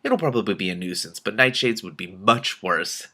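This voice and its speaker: A man's voice: on the low side, lively intonation, moderate pace, pushed and bright, exaggerated voice. A man voicing a practical, matter-of-fact princess with a dry, wry manner in a storybook reading. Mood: dryly wry.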